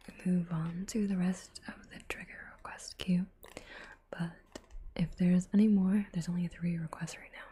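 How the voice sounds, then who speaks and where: A woman hosting an ASMR livestream, chatting softly to viewers in a breathy whisper with unhurried asides. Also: on the low side, a little hiss or hum. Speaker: livestream host, ASMR artist.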